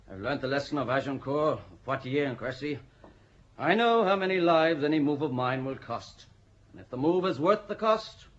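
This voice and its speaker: An actor playing a seasoned, pragmatic army commander in a radio play, measured and stern. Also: male voice, a little high, fairly bright tone. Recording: dull lo-fi recording.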